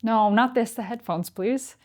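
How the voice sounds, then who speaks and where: A young adult woman narrating a scripted product review as its presenter, clearly enunciated with dry, playful touches. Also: on the high side, unhurried, darkish tone. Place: small indoor studio set. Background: music.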